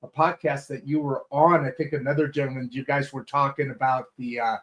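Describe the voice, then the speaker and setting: A man's voice: medium pitch, little pitch movement, a little fast, fairly bright tone, dull audio. A man hosting a talk show, chatting casually and rambling through his guest's introduction with many restarts and fillers. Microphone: video call setup from a home studio.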